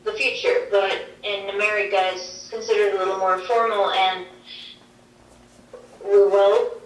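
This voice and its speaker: A woman whose first language is Swedish, answering interview questions on linguistics over a video call, explaining in a measured way with examples. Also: mid-range voice, little pitch movement. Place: played through a wall screen in a dim room. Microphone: video call heard over speakers. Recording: roomy, distant playback from a screen.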